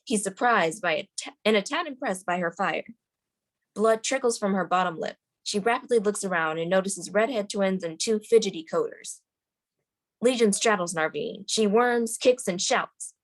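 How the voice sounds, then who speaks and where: A woman's voice: medium pitch, normal speed. A woman reading screenplay stage directions as the narrator at a table read.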